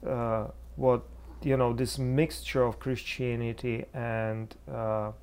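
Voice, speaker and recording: Male Czech-accented preacher, unhurried and gravelly, thoughtful discussion guest who gropes for the right word. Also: mid-range voice, flat delivery, darkish tone. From Czech Republic. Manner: halting, searching for words. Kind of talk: reflective theological discussion.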